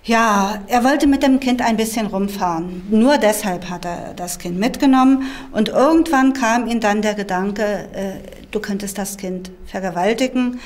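A woman's voice: mid-range voice, normal speed, few pauses. A woman in her forties, a prosecutor, recounting events in a filmed interview indoors. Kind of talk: spoken interview recollection.

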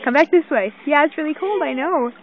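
A woman's voice: high-pitched, a little fast, crowd noise. A young woman off camera, filming her toddler at a lakeshore on a phone and coaxing her in a playful sing-song voice. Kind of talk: home video talk to a toddler. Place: outdoors at a lakeshore. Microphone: phone held by the camera operator. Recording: muffled phone audio with water noise. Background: lapping water and splashing.

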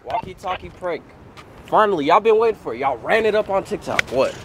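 Young American male, high-pitched casual slangy banter for a prank vlog, noticeable background noise. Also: expressive, a little fast. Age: young adult. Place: parking lot and inside a car. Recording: noisy outdoor and in-car camera audio.

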